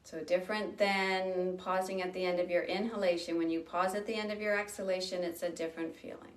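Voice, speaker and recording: A woman leading a guided breathing meditation, speaking slowly and gently with long pauses. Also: fairly low pitch, steady tone, far from the mic. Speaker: meditation teacher. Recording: roomy sound from off the mic.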